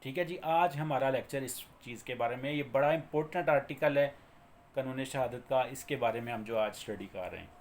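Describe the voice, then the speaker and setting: A man's voice: mid-range voice, brisk, a little hiss or hum. A man with a Pakistani accent lecturing informally on law, explaining by repeating and rephrasing, with English terms mixed in.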